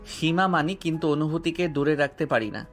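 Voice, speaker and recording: A man's voice: a little high, a little fast, fairly bright tone, soft background music, natural voice. A man voicing a bold, playful young man student who keeps courting an older classmate. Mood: earnest. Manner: teasing, earnest, persistent. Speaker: voice actor. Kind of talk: audio drama acting.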